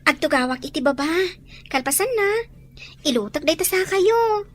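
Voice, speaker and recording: A woman acting as a meek young servant girl with a high voice. She is polite and downtrodden, in audio drama style. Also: expressive, normal speed.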